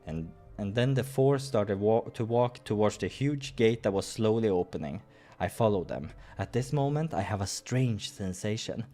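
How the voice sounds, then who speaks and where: A man hosting a playthrough, reading a visual novel aloud in an expressive way with casual asides. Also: on the low side, normal speed, soft background music. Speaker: let's-play host.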